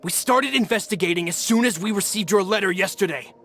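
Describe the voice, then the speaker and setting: Earnest, high-voiced henchman. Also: a little fast, a bit bright. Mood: urgent, earnest. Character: dutiful, earnest henchman reporting to his boss. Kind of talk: video game voice acting. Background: faint music.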